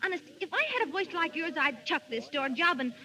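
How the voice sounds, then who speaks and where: A woman's voice: high voice, lively intonation, fairly quick, bright, forceful tone. An actress playing a brash, chatty young shopgirl in 1930s radio drama. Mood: admiring. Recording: old 1930s radio broadcast.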